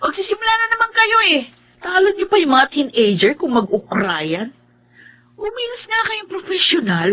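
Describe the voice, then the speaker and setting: A woman's voice: very high voice, big pitch swings, normal speed, bright, forceful tone, exaggerated voice. A woman playing an exasperated young office peacemaker in a drama, with a Filipino accent.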